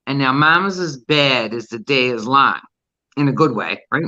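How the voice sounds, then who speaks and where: Bright-voiced Newfoundland woman, slightly dull audio. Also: extremely low pitch, animated, moderate pace, dry recording. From Newfoundland, Canada. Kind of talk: casual chat, anecdote telling.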